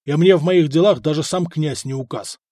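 A man's voice: fairly high pitch, a little fast, warm tone, dry recording, clean studio sound, close to an everyday voice. A man voicing a burly, proud blacksmith who blusters at his wife in a storybook reading. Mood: gruff blustering. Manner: gruff, blustering.

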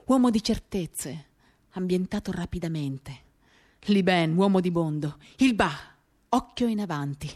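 A woman performing an expressive, theatrical first-person literary reading for radio, moving between animated and hushed delivery. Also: fairly low pitch. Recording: acoustic guitar accompaniment. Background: guitar music.

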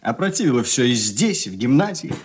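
An actor playing a sullen, desperate, rebellious schoolboy in a radio drama, curt and brooding in manner. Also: male voice, medium pitch, very animated, a little fast, breathy edge. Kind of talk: Russian radio drama acting.

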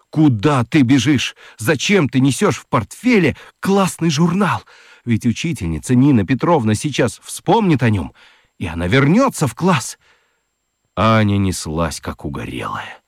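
A man narrating a children's radio play as a dramatic storyteller, with expressive, deliberate literary phrasing. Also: fairly low pitch, dry recording, studio quality. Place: dry studio.

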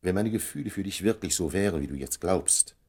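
An actor playing a reserved, courteous man and loyal confidant in a German radio play, speaking in a measured, understated way. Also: animated, a little mellow, heavily acted. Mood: earnest, evasive. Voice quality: very deep, gravelly, husky.

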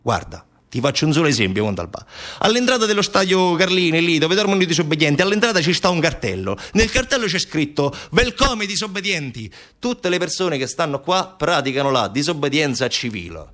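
A man's voice: fairly high pitch, very animated, fairly quick, a bit bright. An actor playing a sarcastic, indignant man in a theatrical monologue, arguing colloquially with an unseen friend through rhetorical questions. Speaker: stage actor.

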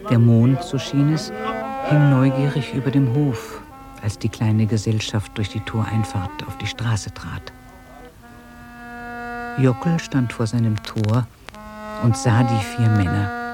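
Guy as calm narrator for a german radio drama, literary village tale, music under the voice. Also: on the low side, natural voice. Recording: 1980s radio play on cassette.

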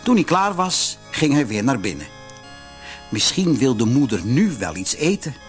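A man narrating a children's fairy tale audiobook in an expressive storytelling manner. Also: mid-range voice, measured pace, soft background music.

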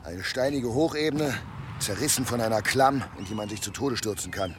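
An actor playing a brooding, hard-drinking medieval husband in a German radio drama, gruff and sardonic. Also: male voice, medium pitch, normal speed, noisy background, close to an everyday voice. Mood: bitter.

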